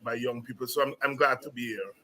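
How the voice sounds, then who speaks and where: A man's voice: medium pitch, fast talker, slight background noise. A man in his sixties from Guyana with a Guyanese accent, reflecting as a guest on an interview and often repeating words as he starts a thought. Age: 60s. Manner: animated storyteller, repeats words when starting. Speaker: guest, university lecturer.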